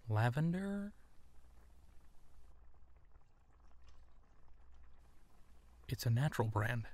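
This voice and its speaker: Man acting as young male werewolf, slow pace, soft-spoken, hesitant, insecure, earnest, intimate ASMR drama. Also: medium pitch, lightly acted. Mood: shy, curious. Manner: hesitant, halting, soft-spoken with breathy whispers.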